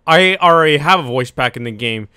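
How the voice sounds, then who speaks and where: A man's voice: a little high, animated, a little fast, sharp tone, smooth, no echo, studio quality. A man who makes gaming videos, chatting in a casual, offhand, rambling way as he explains things. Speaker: YouTube gamer.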